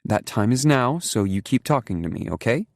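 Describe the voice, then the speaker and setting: A man's voice: fairly low pitch, normal speed, a little mellow, dry recording, clean studio sound, pitched above the performer's own voice, lightly acted. A man voicing a determined, protective adult woman in an audiobook, soothing and firm under pressure. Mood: soothing, urgent. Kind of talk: audiobook character voice.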